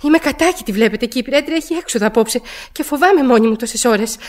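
An actress in a radio drama playing an anxious, querulous bedridden wife, agitated, hesitant and insistent. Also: female voice, fairly high pitch, lively intonation, darkish tone, close to an everyday voice. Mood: anxious, flustered.

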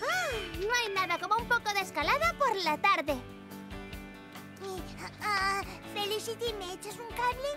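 Sporty, polite fox girl, tiny high voice, hesitant, Castilian Spanish, cartoon with loud music underneath. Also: expressive, a little slow. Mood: polite, uneasy. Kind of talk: dubbed children's cartoon acting. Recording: music bed under studio cartoon dialogue.

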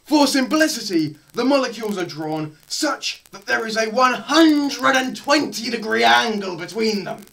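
A young man performing a theatrical scripted lecture, melodramatic, swinging between hushed murmurs and loud cries. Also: very high voice, animated, unhurried, gravelly, few pauses. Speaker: presenter performing a dramatised physics lecture. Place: small plain room indoors.

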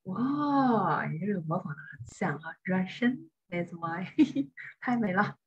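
A woman's voice: medium pitch, expressive, slow pace, husky, lo-fi sound. A woman teaching English in an online class, explaining patiently and step by step.

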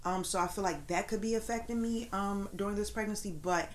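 A woman's voice: mid-range voice, fairly quick, a little hiss or hum. A young woman vlogging candidly from her living room sofa, her voice congested and a little nasal, rambling with many fillers. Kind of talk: casual pregnancy update.

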